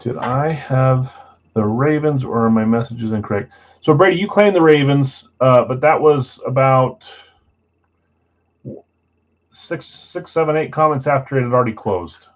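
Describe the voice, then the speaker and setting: A man's voice: unhurried, muffled recording. A man hosting a live trading card break stream, casually reading out buyers and spots in a matter-of-fact voice he calls boring. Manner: self-described boring voice, low-key and matter-of-fact.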